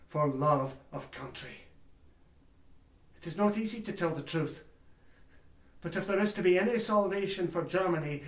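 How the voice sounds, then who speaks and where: A man performing a theatrical monologue as an anguished, remorseful German former judge testifying in court with grave, deliberate oratory. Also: high-pitched. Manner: grave, deliberate oratory with swells from hushed to loud. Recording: echoey room.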